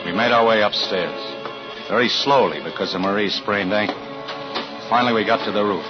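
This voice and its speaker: Actor as low-voiced haunted husband, old radio. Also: male voice, brisk, close to an everyday voice. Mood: brooding. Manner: dramatic, intense, brooding. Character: troubled husband, a fire inspector, haunted and desperate. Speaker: actor and first-person narrator. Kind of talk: 1940s radio thriller acting. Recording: muffled old radio recording with music.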